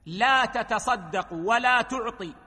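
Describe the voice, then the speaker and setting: A man gives a religious lesson in a high, bright voice, laying out each ruling step by step. The sound carries a long reverb from a hall. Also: a little slow, husky. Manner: explains rulings step by step, quoting hadith. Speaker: preacher.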